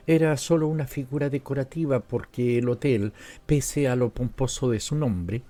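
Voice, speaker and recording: A man's voice: medium pitch, warm tone. A man with a Latin American Spanish accent reads a literary story as an audiobook narrator. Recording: music behind the voice. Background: background music.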